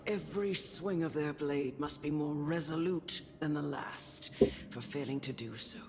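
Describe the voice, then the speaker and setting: An actor playing a grave, wise guardian of death who counsels a child in a measured, solemn video game style. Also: medium pitch, a little hiss or hum, lightly acted. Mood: grave storytelling. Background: music.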